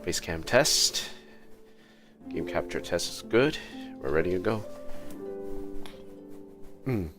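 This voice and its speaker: A man with a low-pitched voice hosts a casual gaming livestream. He speaks slowly, in halting phrases with drawn-out pauses. Music plays softly behind his voice. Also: expressive. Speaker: livestream host. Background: background music.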